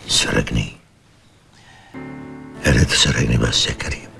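An actor playing a troubled, weary but tender man with an Egyptian accent in a TV drama, brooding and halting in manner. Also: very deep voice, vocal fry, pushed and bright, heavily acted. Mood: bitter, defeated. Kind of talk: TV drama acting. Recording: music under the dialogue.

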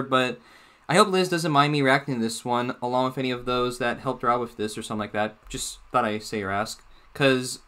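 A man's voice: fairly high pitch, bright, forceful tone. A young man hosting a casual reaction video from a small room, rambling and slipping in jokey asides. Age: young adult.

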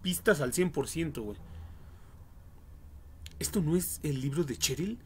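A man's voice: a little high, lively intonation, unhurried, slight background noise. A young adult man with a Mexican accent, giving casual, slangy, foul-mouthed and jokey commentary on a game livestream. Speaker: livestream gamer.

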